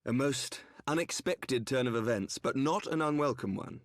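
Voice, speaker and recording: A man's voice: mid-range voice, expressive, normal speed, no echo, studio quality, lightly acted. A man playing a dignified, courteous knight commander in a fantasy game, with formal archaic speech. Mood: gracious, solemn. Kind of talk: fantasy game cutscene acting.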